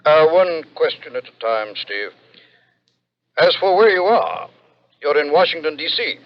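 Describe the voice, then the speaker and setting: An American actor playing a sardonic male confidant who breaks grim secrets gently, in 1960s radio drama style. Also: on the high side, very animated, unhurried. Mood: soothing. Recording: muffled old radio, faint noise.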